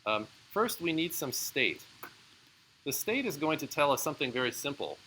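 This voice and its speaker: A man's voice: fairly high pitch, sing-song, normal speed, bright, forceful tone, a little hiss or hum, low-quality recording. A man giving a live university lecture, explaining in a casual way with frequent fillers and answering student questions. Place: lecture room.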